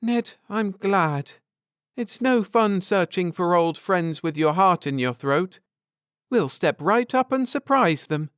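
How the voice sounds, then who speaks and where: Man voicing an eager, warm-hearted woman, lifted pitch. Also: expressive, takes it slowly, clear, resonant tone, no room sound, studio quality, heavily acted. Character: eager, warm-hearted wife, space traveller. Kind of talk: audiobook character dialogue.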